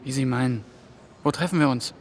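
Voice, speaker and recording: An actor playing an anxious young EU trainee. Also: male voice, medium pitch, fairly quick, a little hiss or hum, lightly acted. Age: young adult. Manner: tense, worried inner monologue and polite phone talk. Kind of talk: German TV drama acting.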